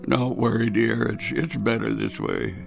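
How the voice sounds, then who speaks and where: An actor playing an anxious, stubborn grandfather in his seventies in a radio drama, with a halting, stammering delivery. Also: mid-range voice, big pitch swings, gravelly, soft background music, full character voice. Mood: resigned. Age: 70s. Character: frail, anxious, stubborn 76-year-old grandfather. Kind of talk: old-time radio drama acting.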